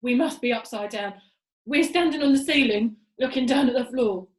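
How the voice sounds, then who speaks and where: A woman reader acts a nasty old storybook husband. He blusters and exclaims loudly, grumpy and full of himself. The room echoes around the voice. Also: on the high side, moderate pace, natural voice. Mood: alarmed. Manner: loud, exclaiming.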